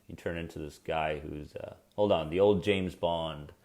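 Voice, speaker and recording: A man's voice: very deep voice, steady tone, normal speed, a little mellow. A man hosting a live coaching stream on anxiety, chatty and encouraging, with a soothing voice, talking straight to his viewers and reacting to their comments.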